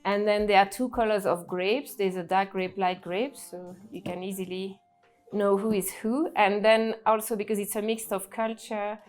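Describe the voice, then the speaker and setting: A woman from Belgium, a restaurant co-owner explaining her place in a plain, slightly halting way. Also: medium pitch, fairly even intonation. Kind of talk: casual explaining interview. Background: music playing.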